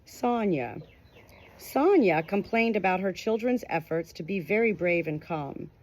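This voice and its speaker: A woman's voice: fairly low pitch, lively intonation, unhurried. A woman reading a nonfiction book aloud as scripted audiobook narration. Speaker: audiobook reader.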